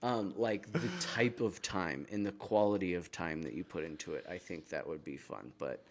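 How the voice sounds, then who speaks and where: A man co-hosting a casual gaming podcast, talking haltingly with many restarts and a playful tone. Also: sits low. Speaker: podcast co-host.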